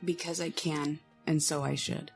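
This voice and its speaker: An actor playing a wounded, stoic young prince in an audio drama, strained and weary. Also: male voice, on the high side, flat delivery, normal speed, vocal fry, faint music behind.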